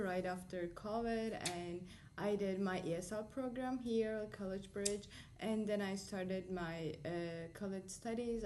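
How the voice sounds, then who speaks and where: A woman's voice: medium pitch, dark tone, slight background noise. A woman in her twenties from Turkey, with a Turkish accent, talking as an interview guest, friendly and full of "like" fillers. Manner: friendly, uses many "like" fillers. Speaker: guest, college alumna. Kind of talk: casual interview.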